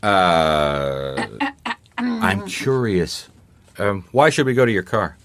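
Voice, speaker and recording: Wry, deadpan private eye, expressive delivery. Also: male voice, deep voice, slow pace, dry recording, heavily acted. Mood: patient, dry. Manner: deadpan, sardonic, folksy. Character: wry male private detective, world-weary but patient. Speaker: detective and first-person narrator. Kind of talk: radio mystery acting, noir narration.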